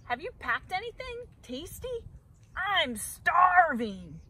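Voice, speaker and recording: Picky, melodramatic storybook bird, played by a woman: bright, high, whining, theatrical complaints. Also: wildly swooping pitch, slow pace, slight background noise, full character voice. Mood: pleading, whiny. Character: whiny, dramatic, picky hungry bird.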